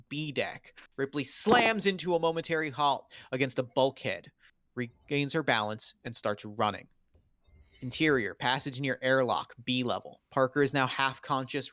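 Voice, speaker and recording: Guy reading out script directions for a sci-fi table read, bright forceful voice, really dry sound. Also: medium pitch, dry recording. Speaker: stage directions reader. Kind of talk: dramatic table read narration of screenplay directions.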